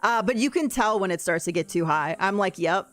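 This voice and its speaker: A woman with a deep voice, chatting casually and rambling while she plays a game on a livestream and answers her chat. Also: lively intonation, a little fast. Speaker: streamer. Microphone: desk mic, no camera.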